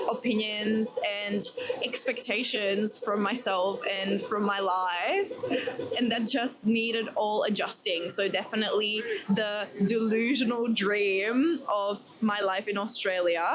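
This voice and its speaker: A young woman with a Czech accent talks casually to her viewers at a middling pitch. She laughs often and turns to them with quick asides. Clear background noise runs under her voice. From Czech Republic. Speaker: vlogger. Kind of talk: casual Q&A vlog. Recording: noisy background ambience.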